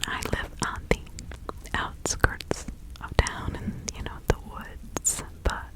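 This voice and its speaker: A woman plays an older village witch from the 1650s in an ASMR roleplay. She speaks in a breathy whisper at a slow, halting pace. Her manner is soothing, chatty and sympathetic, as if sharing confidences with a visitor. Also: natural voice, rough recording. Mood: welcoming, sympathetic.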